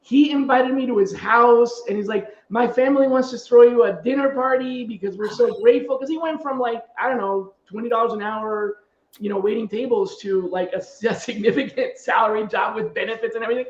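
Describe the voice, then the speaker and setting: A middle-aged man with a high-set voice tells stories in a relaxed, conversational way as a podcast host. The sound is roomy and slightly muffled, as if the microphone misses some of the detail. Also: a little fast. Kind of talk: casual conversational anecdotes.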